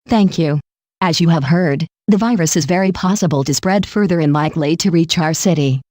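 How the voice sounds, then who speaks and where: A woman plays a concerned local news host in a mock TV broadcast. Her voice is fairly low and very mellow, polite and formal, recorded dry. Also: a little fast, no room sound, lightly acted.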